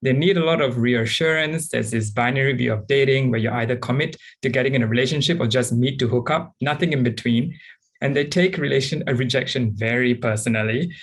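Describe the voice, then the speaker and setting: A man, frankly and thoughtfully sharing personal experiences as a panelist, often pausing with ums. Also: mid-range voice, breathy edge. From Singapore. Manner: reflective and frank, with frequent ums. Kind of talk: candid personal account on a panel.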